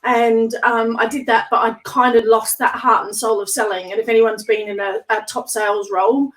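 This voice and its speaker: Chatty woman, slightly hoarse. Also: on the high side, fairly even intonation, normal speed, fairly bright tone, distant mic, low-quality recording. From Australia. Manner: chatty, laughs often, many ums. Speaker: interview guest, entrepreneur. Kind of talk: casual video-call interview.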